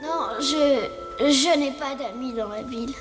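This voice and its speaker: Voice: sits high, unhurried. An actor voicing a naive, polite young elephant in a cartoon, earnest and full of wonder. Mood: bewildered. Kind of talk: animated cartoon dialogue. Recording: loud music under the voice.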